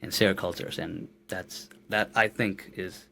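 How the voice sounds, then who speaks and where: Nepali-accented man in his late 20s, gravelly low voice, earnest, restarts his phrases, faint background hiss. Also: fairly even intonation, measured pace, a bit bright. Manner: earnest, repeats words while searching for phrasing. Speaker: guest musician. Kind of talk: casual podcast interview.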